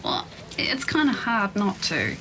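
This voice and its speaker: An actress playing a sympathetic woman restaurant worker, hesitant and gently reproving, in a naturalistic audio drama. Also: medium pitch, wildly swooping pitch, unhurried, slight background noise, heavily acted.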